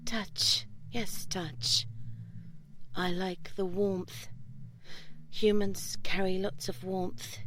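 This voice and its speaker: A woman voicing a seductive, curious slime creature in an intimate audio roleplay, speaking soft, halting broken English in short fragments, often whispering. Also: mid-range voice, flat delivery. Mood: coaxing, curious.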